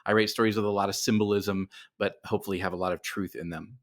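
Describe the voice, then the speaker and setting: A man's voice: on the low side, fairly even intonation, fast. A middle-aged man talking casually as a writer being interviewed, animatedly explaining things and often repeating words as he goes. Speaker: writer and podcast guest.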